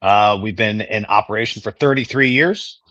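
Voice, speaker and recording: A man interviewed as a guest, answering in a measured, halting way with frequent fillers. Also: on the low side, pushed and bright, no room sound, dull audio. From New York State. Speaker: guest, home care executive.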